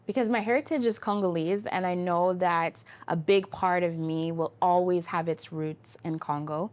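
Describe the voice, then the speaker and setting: A woman's voice: lowish voice, moderate pace. A woman from Canada, a guest in an interview, telling her story in an articulate, flowing way. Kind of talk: interview conversation.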